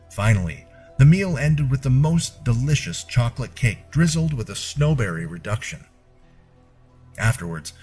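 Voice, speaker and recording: A man's voice: mid-range voice, a little mellow, breathy edge. A man narrating a first-person story from a script, with formal, genteel storyteller phrasing. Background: music.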